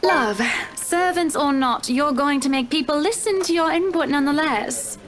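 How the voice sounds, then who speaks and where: A woman's voice: high voice, expressive, normal speed. A woman playing a wealthy, pushy female house buyer in a visual novel, effusive and condescendingly polite. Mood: gushing, imperious. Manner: effusive, condescending, addresses people with endearments.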